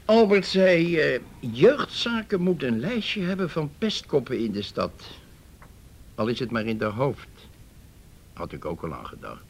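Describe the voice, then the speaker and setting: An actor playing a gruff, irreverent police detective in a radio play, blunt and sardonic. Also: male voice, medium pitch, very animated, measured pace, heavily acted. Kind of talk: Dutch radio play acting.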